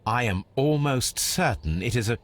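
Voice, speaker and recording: An actor playing a brilliant, aloof gentleman detective in a video game, with a British accent and a precise, measured, analytical delivery. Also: medium pitch, lively intonation, close to an everyday voice. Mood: musing. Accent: British English. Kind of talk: video game character acting.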